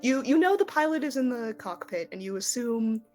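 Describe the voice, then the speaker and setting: A woman running a tabletop roleplaying game, narrating casually and haltingly in a conversational way. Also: a little high, animated, a little mellow, soft background music. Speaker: game master.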